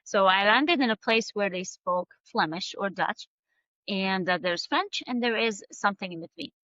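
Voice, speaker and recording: Woman, Farsi native, forties, earnest halting storytelling, bright tone, dry dull recording. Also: mid-range voice, no echo. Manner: earnest, halting, many fillers and restarts. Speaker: guest. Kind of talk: personal storytelling in a podcast interview.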